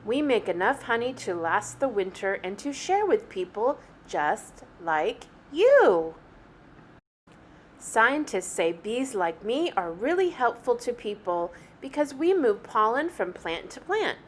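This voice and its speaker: A woman reading a picture book aloud like a teacher, with animated, deliberate phrasing meant for young children. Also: fairly high pitch, a little hiss or hum. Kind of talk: expressive children's story read-aloud.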